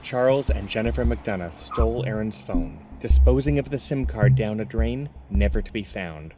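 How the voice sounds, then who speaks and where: An actor playing a true-crime narrator, giving scripted narration in a measured, ominous manner. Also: male voice, on the low side, expressive, noisy background, lightly acted. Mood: grave. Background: prominent music.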